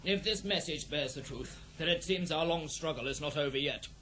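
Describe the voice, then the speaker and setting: Man playing a noble fantasy prince, slightly high-voiced. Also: pushed and bright. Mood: skeptical, stern. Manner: formal, declamatory, measured. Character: noble, doubtful fantasy prince and war leader. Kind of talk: fantasy audio drama acting. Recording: faintly noisy old cassette dramatisation.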